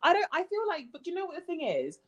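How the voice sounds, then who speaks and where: A woman's voice: very high voice, wildly swooping pitch, quick pace, highs cut off, a crowd in the background. A woman co-hosting a casual chat about a TV series, emphatic and slangy. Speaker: podcast co-host.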